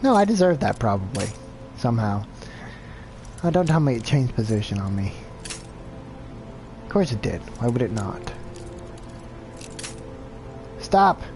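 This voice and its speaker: A man's voice: mid-range voice, big pitch swings, unhurried, pauses often, a little mellow, faint music behind. A man streaming a video game live, chatting casually and thinking aloud in a rambling, self-deprecating way. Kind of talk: casual gameplay commentary.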